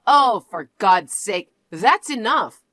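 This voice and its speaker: A spiteful, domineering mother-in-law sneers and gloats, voiced by a woman. Her pitch swings widely as she mocks, with a haughty, taunting edge. The recording is close and dry. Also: animated, takes it slowly, fairly bright tone, no echo. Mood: venomous. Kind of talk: one-voice drama reading.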